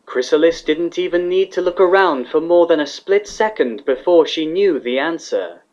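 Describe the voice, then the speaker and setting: A man's voice: on the high side, expressive, moderate pace, darkish tone, dull audio. A man reading scripted fantasy fiction aloud as the narrator of a story.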